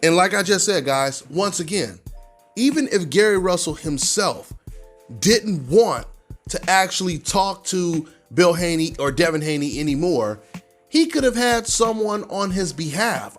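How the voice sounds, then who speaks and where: A man's voice: high-pitched, animated, unhurried, a bit bright, soft background music. A man hosting a boxing news show, giving conversational commentary in an emphatic way, repeating points for stress. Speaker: host of a boxing news channel.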